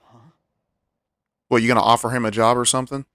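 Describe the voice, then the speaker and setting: A man's voice: mid-range voice, level tone, fast talker, a bit bright, dry recording, clean studio sound. A man streaming a video game, reacting casually in informal, slangy speech.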